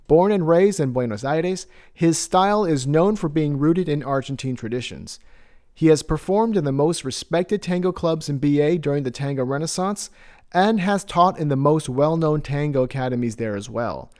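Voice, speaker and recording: A man's voice: on the high side, moderate pace, darkish tone. A man hosting a podcast, reading a scripted guest introduction and asking interview questions. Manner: scripted introduction, then brief backchannels. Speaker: podcast host.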